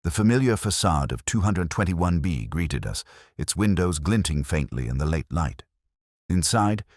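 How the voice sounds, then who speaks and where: A man with a very deep voice narrates a literary audiobook. His reading is measured and solemn, yet moves expressively in pitch, and the sound is dry. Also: a little mellow, no echo. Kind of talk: scripted first-person literary narration.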